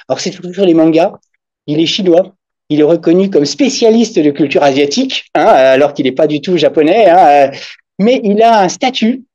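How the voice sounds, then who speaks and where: A man's voice: on the high side, mellow, no room sound. A man whose first language is German, presenting academic research and answering questions with many hesitations and fillers at a university conference. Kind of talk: academic discussion after a talk. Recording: dull muffled sound.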